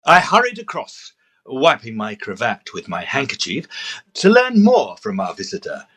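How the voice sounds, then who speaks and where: A man's voice: medium pitch, huge pitch swings, normal speed, fairly bright tone, slightly rough, full character voice. A man playing a fussy, proper Edwardian ghost-hunter, telling his story formally and precisely in an audio drama. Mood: narrating. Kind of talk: first-person period storytelling and audio drama.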